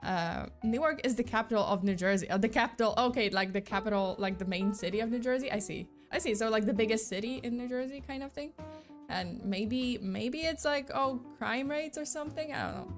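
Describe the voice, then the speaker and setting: A woman's voice: on the high side, brisk, soft background music. A young woman with a slight mixed accent, not a native English speaker, rambling in a casual live-stream chat with lots of fillers. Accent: slight mixed non-native.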